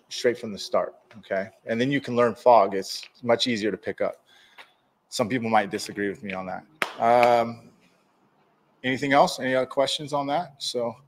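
A man in his forties in a garage workshop, casually explaining dent repair tools to a live audience. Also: mid-range voice. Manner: casual, hands-on, chatty with viewers. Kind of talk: live-stream tool demonstration.

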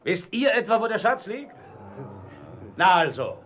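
A man's voice: sits high, sing-song, unhurried, a bit bright, a little hiss or hum, exaggerated voice. A man playing a wily, commanding old pirate ship's cook in a radio play. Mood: menacing, commanding.

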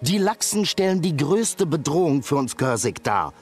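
A man's voice: on the high side, sing-song, normal speed, a little mellow, faint music behind. A man playing a haughty, dismissive baron and war commander in a fantasy game, pompous and declamatory. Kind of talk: dubbed fantasy game cutscene acting.

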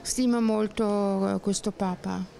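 A woman's voice: mid-range voice, fairly even intonation, slow, gravelly, noisy background. A middle-aged woman from Switzerland answering a street interview at night, hesitant and searching for her words, into a handheld mic. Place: outdoor town square at night. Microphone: handheld mic held by interviewer. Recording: outdoor street with crowd behind.

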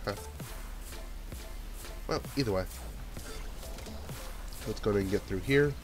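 A man's voice: fairly low pitch, slow. Stuffy-nosed man narrating his gameplay. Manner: chatty, thinks aloud while playing. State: stuffy nose. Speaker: let's play gamer. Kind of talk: casual gameplay commentary. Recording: game music playing under voice. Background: game background music.

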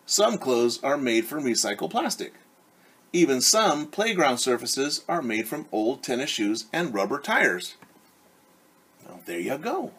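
Male preschool teacher for read-aloud, forties, mid-pitched and a little husky, animated, engages kids directly. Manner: animated, addresses children directly with questions and repeats key words. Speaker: children's teacher reading a storybook. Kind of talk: story time read-aloud for young children. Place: living room at home, indoors.

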